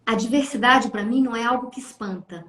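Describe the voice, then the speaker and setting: A Brazilian woman in her fifties, a therapist telling her life story in an interview, lively and expressive, often tagging phrases with a questioning aside. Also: a little high, a little fast. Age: 50s. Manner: animated, acts out quoted voices, frequent "né?". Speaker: guest, family constellation therapist. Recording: echoey room.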